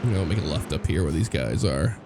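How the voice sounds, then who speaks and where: A young American man with a cold-roughened, hoarse voice, rambling casually as he comments on a driving game. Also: extremely low pitch, a little fast. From United States. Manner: rambling, relaxed, many fillers and restarts. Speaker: gameplay commentator. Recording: game car sounds loud behind voice. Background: car engine and road noise from the game.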